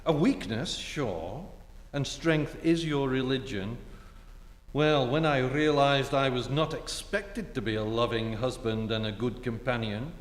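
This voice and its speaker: A man's voice: mid-range voice, unhurried, close to an everyday voice. A man acting a breezy, suave, sardonic ex-husband in a radio play, teasing and articulate. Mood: bitter, wry. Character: breezy, sardonic, suave ex-husband needling his former wife. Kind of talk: radio play re-enactment on stage. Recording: echoey theatre hall, live stage.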